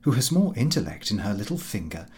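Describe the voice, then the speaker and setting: Man voicing a genteel, sharp-tongued wife. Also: lowish voice, very animated, quick pace. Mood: cutting reproach. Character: sharp-tongued wife defending her clever friend.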